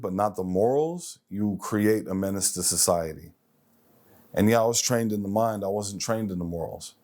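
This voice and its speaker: A man's voice: fairly low pitch, darkish tone. A man of forty hosting a self-improvement show, speaking emphatically in a motivational monologue and repeating phrases for effect.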